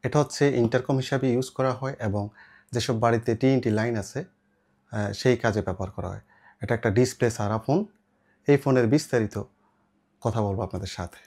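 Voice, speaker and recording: A man's voice: medium pitch, fairly quick, long pauses, darkish tone. A man with a Bangladeshi accent gives an informal, step-by-step product demonstration as a seller, mixing in English words. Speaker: shop seller presenting products. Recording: clear background noise with clicks.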